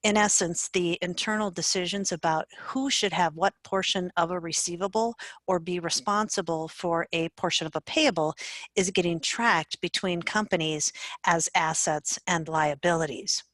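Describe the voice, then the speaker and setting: A woman with a fairly low voice narrates an instructional screencast. She explains things in a measured manner, as a software trainer would. The sound is dry, with very little room echo. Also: steady tone.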